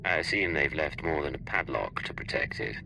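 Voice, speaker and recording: An actor playing a cold, commanding male intelligence agent in a video game, speaking in a gravelly, clipped way. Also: rumbling low, expressive, a little fast, noisy background, heavily acted. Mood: cool, businesslike. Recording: music behind the voice.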